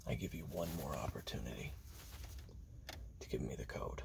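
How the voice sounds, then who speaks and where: A man performs as a treacherous assassin telling his tale straight to the listener in an audio roleplay. His voice is extremely low and gravelly, often sinking into a whisper. The delivery is deliberate, close and hushed. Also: animated, full character voice. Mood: cold, menacing. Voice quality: breathy whispering with gravelly fry. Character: treacherous male assassin recounting his betrayal to you. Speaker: roleplay narrator. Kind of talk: second-person audio roleplay narration, close and intimate.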